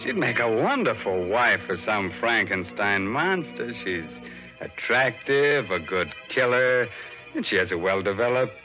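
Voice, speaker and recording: A man's voice: fairly low pitch, very animated, unhurried, muffled recording. A man playing a macabre, teasing horror show host on 1940s radio, in a sardonic, mock-ghoulish manner. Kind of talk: 1940s radio mystery hosting. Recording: old 1940s radio recording, music behind.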